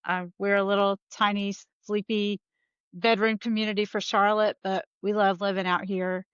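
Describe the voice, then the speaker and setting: A woman's voice: mid-range voice, little pitch movement, moderate pace, clean tone, no room sound. Woman, southern accent, podcast guest, chatty, dry and slightly muffled sound. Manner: chatty, filler-heavy. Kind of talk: casual interview talk.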